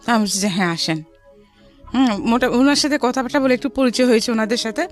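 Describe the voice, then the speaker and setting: A woman's voice: a little high, quick pace. A middle-aged woman with a Bangladeshi accent telling her life story in a conversational way, mixing in some English words. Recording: music behind the voice.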